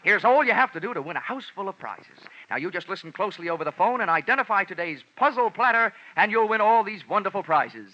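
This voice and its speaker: An actor playing a chirpy, slick radio disc jockey with gushing, sing-song sales patter. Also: male voice, sits high, animated, fast talker, hardly any pauses, pitched above the performer's own voice. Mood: gushing, excited. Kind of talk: 1940s radio quiz host patter. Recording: dull old radio recording with music.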